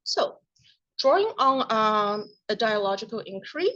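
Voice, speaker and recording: A woman giving an academic conference presentation in a measured way, with occasional filler sounds. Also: a little high, sing-song, pushed and bright. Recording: dull lo-fi recording.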